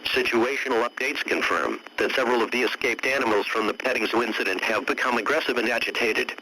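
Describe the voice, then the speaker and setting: A man delivering a formal scripted emergency alert in a measured, official, instructive way. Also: mid-range voice, sharp tone, a little hiss or hum, poor audio.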